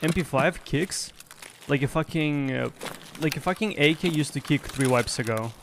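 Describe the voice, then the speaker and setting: Gravelly young man streaming a game. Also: a little high, moderate pace, a little hiss or hum. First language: Russian. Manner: chatty, swears, repeats himself. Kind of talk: casual gameplay commentary. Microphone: headset.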